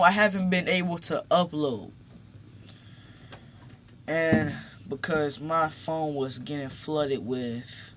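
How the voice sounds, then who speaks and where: A teenage boy talking casually to his viewers, halting and repeating words, then growing emphatic as he makes his point. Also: fairly high pitch, a little hiss or hum. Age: teens. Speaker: YouTuber. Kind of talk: casual talk to camera. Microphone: phone.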